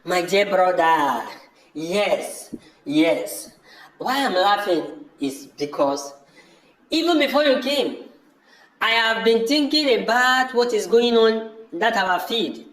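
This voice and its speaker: A man's voice: high-pitched, lively intonation, takes it slowly, fairly bright tone, reverberant, full character voice, low-quality recording. A man in an amateur audio drama playing a brother, speaking in an emphatic and repetitive way. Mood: dismissive, fed up. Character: welcoming but disillusioned brother, fed up with farming.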